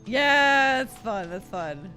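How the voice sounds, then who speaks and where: A woman giving casual gameplay commentary as a streamer, thinking out loud and often second-guessing herself in a giggly way. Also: fairly high pitch, expressive, slow pace. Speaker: game streamer. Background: game music and character voice lines.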